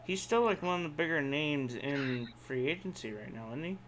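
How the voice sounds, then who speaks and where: A man with a somewhat high voice talks casually as a podcast co-host. His manner is blunt and sweary, like informal banter among peers. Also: lively intonation, moderate pace, fairly bright tone, low-quality recording.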